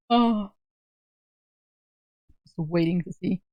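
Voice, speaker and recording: A woman streamer chatting on a call, soft-spoken and laughing often. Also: mid-range voice, no room sound, lo-fi sound. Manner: giggly, laughs often, speaks softly. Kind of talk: casual livestream chat on a call.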